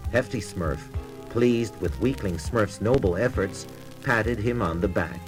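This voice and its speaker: Slow, expressive low-voiced male storyteller. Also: rough recording. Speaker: storybook narrator. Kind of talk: scripted children's read-along narration. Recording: children's record with music behind. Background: music.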